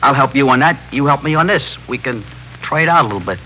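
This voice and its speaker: Fast-talking, nervy street hustler. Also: male voice, medium pitch, lively intonation, sharp tone. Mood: bargaining. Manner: streetwise slangy talk, rambling, self-justifying. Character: nervy, talkative small-time street drug pusher. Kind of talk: 1950s radio drama acting. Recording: noisy 1950s radio broadcast recording with hum.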